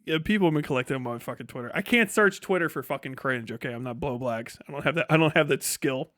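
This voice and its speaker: A man's voice: medium pitch, fast talker, fairly bright tone, no echo, studio quality. An American man hosting a livestream, talking casually with blunt, opinionated, sweary commentary. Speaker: livestream host.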